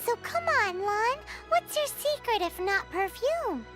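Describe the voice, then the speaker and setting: A woman voicing a small, cheeky childlike fairy companion in a video game, squeaky, bubbly and blunt. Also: very animated, takes it slowly, exaggerated voice. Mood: eager, cheeky. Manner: bubbly, blunt, refers to self by name. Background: music.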